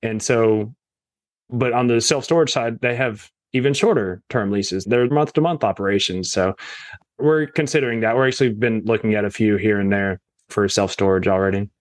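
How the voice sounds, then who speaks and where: A man's voice: lowish voice, normal speed, no echo. A man talking casually as a guest on a real estate podcast, leaning on fillers like "you know" and "right?".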